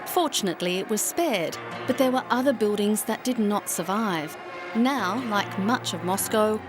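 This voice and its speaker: Female documentary narrator, polished and even, clear music bed beneath. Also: medium pitch. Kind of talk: scripted narration.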